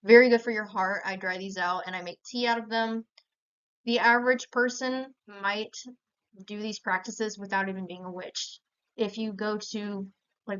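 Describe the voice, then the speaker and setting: A woman's voice: mid-range voice, clear, resonant tone. A young American woman giving an informative speech in a plain, explanatory manner. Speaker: student presenter. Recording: slightly dull, lo-fi sound.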